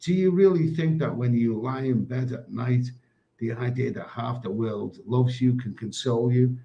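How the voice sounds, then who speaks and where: Man putting on a breathy mock French woman. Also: medium pitch, normal speed, warm tone, muffled recording, close to an everyday voice. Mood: sultry. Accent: put-on French.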